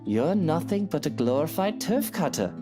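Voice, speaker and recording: Woman doing a very low, smug cheating man. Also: big pitch swings, normal speed. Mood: menacing. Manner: sneering, condescending, threatening. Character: smug married male cheater, taunting and menacing. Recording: guitar music behind the voice. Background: game music with guitar.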